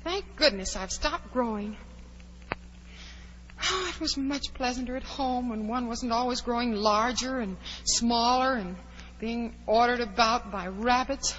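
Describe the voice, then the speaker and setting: A woman's voice: a little high, unhurried, a bit bright. A woman playing a curious, chatty, plucky young girl who wonders aloud in a 1940s radio drama. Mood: anxious, plucky. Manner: wondering aloud, exclaiming, polite. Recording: dull, hissy 1940s radio recording.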